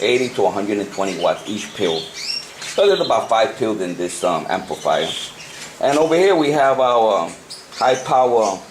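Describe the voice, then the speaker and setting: A man giving an unscripted hands-on tutorial, talking haltingly and repeating himself as he works through the steps. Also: on the low side. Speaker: hobbyist presenter of a CB radio tutorial. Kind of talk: unscripted hands-on how-to demonstration. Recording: noisy amateur home video.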